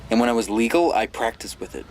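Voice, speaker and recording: An actor playing an earnest, haunted young graduate student in a radio drama, halting and plainspoken. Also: male voice, mid-range voice, animated, darkish tone, a little hiss or hum, lightly acted. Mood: stubborn, earnest. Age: young adult.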